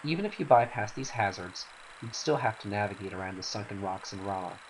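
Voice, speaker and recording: Man playing a blunt, wary seafarer, lowish voice. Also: fairly quick, close to an everyday voice, rough recording. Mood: wary, scolding. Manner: cautionary, blunt. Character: wary, gruff seafarer warning off outsiders. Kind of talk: dramatic reading of game cutscene dialogue. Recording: faint hiss.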